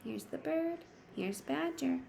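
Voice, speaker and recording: Female teacher, storybook read-aloud. Slow, slightly gravelly, expressive, explains simply. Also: high-pitched, slight background noise. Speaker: teacher reading aloud to pupils. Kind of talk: picture book read-aloud with teaching asides.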